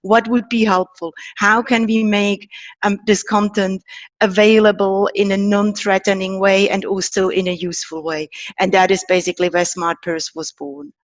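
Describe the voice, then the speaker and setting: A woman's voice: mid-range voice, little pitch movement, normal speed, dry recording, lo-fi sound. Earnest woman. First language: Czech and German. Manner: thoughtful, earnest, with fillers. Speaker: guest, startup co-founder. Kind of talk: podcast interview.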